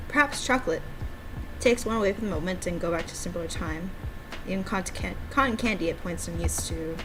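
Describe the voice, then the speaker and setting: A woman voicing a courteous, wistful male visual-novel character in a formal, measured, hesitant way. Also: lowish voice, noisy background, lightly acted. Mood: wistful, pondering.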